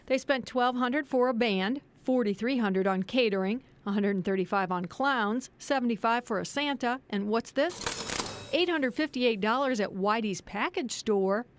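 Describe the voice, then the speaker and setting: A woman's voice: on the high side, normal speed, slight background noise. A woman with an American accent delivering a scripted TV news report as an investigative reporter, with pointed questions.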